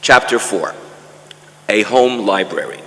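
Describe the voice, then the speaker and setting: Older man, bright forceful voice, from Canada, scripted memoir lecture, deliberate rhetorical delivery, long hall reverb. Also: lowish voice, animated. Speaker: guest lecturer reading a memoir. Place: large indoor conference hall.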